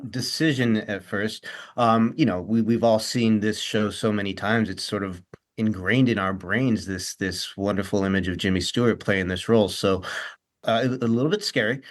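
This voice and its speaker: A man's voice: on the low side, moderate pace. A man who acts on stage, chatting in an interview in an earnest, reflective way. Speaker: stage actor, guest. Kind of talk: interview chat about a stage role.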